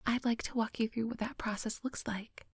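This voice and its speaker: A female vampire hypnotist, played by a woman murmurs in an extremely breathy whisper with a touch of creak. She takes her time, coaxing and quietly menacing. The ASMR roleplay sounds close and very dry. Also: on the high side, fairly even intonation, dry recording. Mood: smoothly professional. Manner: slow, coaxing, hypnotic instructions. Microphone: close microphone, dry.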